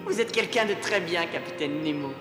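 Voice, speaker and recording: A woman voicing an eager, clever young boy inventor in an animated dub, talking earnestly and explaining things. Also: fairly low pitch, fairly quick, over music, natural voice. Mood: gentle.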